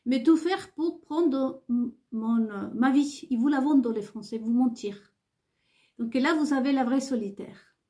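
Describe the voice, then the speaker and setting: Woman vlogger, second-language French, slow and halting, mellow higher voice, repeats herself insistently. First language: not French. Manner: halting, many hesitations and restarts. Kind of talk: rambling monologue to viewers.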